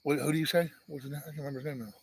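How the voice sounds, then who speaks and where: Monotone guy cohosting a live chat, kinda high voice, dry close sound, teasing and rambling. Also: rapid-fire, gravelly, slightly rough, dry recording. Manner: teasing, rambling, many restarts. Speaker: homestead channel co-host. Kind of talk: casual live-stream chat.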